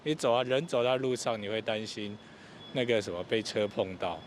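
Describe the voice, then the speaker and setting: A man in a street interview outdoors, talking haltingly and searching for words. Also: fairly low pitch, a little hiss or hum.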